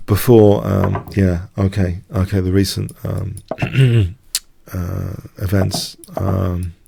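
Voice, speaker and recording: A man's voice: fairly low pitch, gravelly, mellow, slight background noise. A man with a cold commentating on his own blitz chess games, hesitant and prone to stammering restarts. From not from the United States. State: congested with a cold. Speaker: chess streamer.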